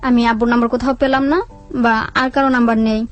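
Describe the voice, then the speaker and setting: A woman with a Bangladeshi accent tells a personal story in a radio interview, haltingly, repeating words and quoting what people said. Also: on the high side, flat delivery. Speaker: guest telling own life story. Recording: music under the voice. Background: background music.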